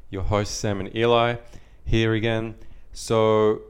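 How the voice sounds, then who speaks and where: A man's voice: fairly low pitch, little pitch movement, takes it slowly, clean tone. A man from Australia co-hosting a podcast, chatting in a friendly, reflective way. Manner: friendly, reflective, easygoing storytelling. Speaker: podcast co-host. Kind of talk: casual podcast chat.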